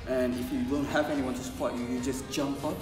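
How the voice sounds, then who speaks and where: A man's voice: mid-range voice, little pitch movement, a little fast, music in the background. A young man in a college dorm room, talking through his home workout in a casual, rambling way with many fillers and restarts. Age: young adult. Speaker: fitness vlogger.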